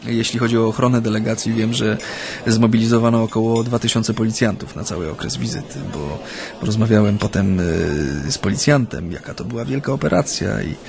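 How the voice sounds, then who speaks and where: An actor playing a chatty, nervous Japanese man steeped in Polish ways, speaking haltingly in naturalistic radio drama dialogue. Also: lowish voice, creaky, a little mellow. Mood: animated reminiscing. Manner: hesitant, halting, with many hems and repetitions.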